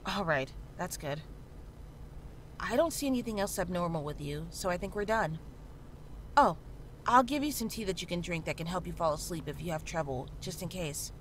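A woman acting as a calm female healer in a horror game, with a lowish voice. She is measured and matter-of-fact, frank but reassuring. There is clear noise and ambience behind her. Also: close to an everyday voice, poor audio. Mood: reassuring, practical. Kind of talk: visual novel character dialogue.

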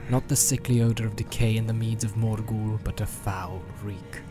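A man narrating a fantasy audiobook in a measured, solemn, dramatic way, drawing out his phrases. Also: on the low side. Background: music.

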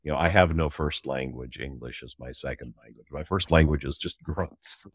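A man's voice: a bass voice, moderate pace, slightly rough, dry recording, studio quality. A middle-aged man, a guest author telling his story casually in an interview, with a wry, joking manner.

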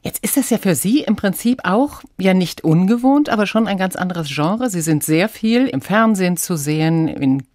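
A woman with a fairly low voice works as a radio interviewer. She introduces and questions in a composed, conversational manner. The recording is close and dry, as in a studio. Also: animated, moderate pace, few pauses, a little mellow, dry recording.

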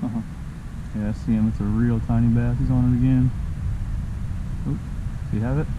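A man's voice: mid-range voice, little pitch movement, a little fast, narrow, tinny audio. A man giving casual commentary while fishing outdoors by a creek, talking to himself and to the fish, recorded on a head-mounted action camera. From Texas. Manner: talks to himself and the fish, frustrated exclamations. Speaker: fishing vlogger. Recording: outdoor camera mic with clear background noise.

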